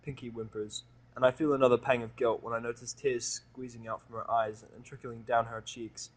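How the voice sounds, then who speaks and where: A man's voice: mid-range voice, flat delivery, a little fast. A man reading a first-person story aloud as dramatic narration for a fan audio drama.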